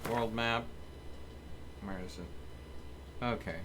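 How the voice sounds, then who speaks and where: Low-voiced, unhurried male game streamer. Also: fairly even intonation, noisy background. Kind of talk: casual live game commentary. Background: game ambience.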